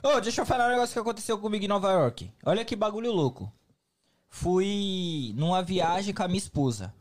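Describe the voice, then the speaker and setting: A man's voice: high voice, a little fast. A Brazilian man in a casual podcast chat, telling stories with plenty of slang. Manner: slangy storytelling, relaxed.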